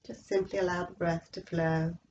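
Female meditation guide, soft-edged dark timbre, slow and soothing, slightly muffled recording. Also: on the low side, flat delivery, slight background noise. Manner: slow, measured, soothing delivery with long pauses. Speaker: yoga nidra instructor.

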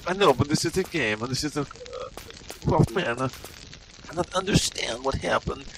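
A man voicing a prim, bewildered male game streamer who stammers in halting broken English. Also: low-pitched, very noisy, close to an everyday voice. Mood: alarmed. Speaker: gameplay host. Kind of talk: improvised comedy gameplay commentary.

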